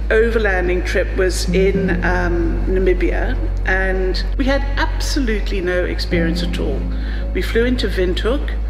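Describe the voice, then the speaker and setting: A middle-aged woman from Zambia with a Southern African accent, telling her own travel story in a conversational, reflective, anecdotal way. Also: medium pitch. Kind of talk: personal storytelling, conversational interview narration. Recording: echoey, reverberant room with music behind. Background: music.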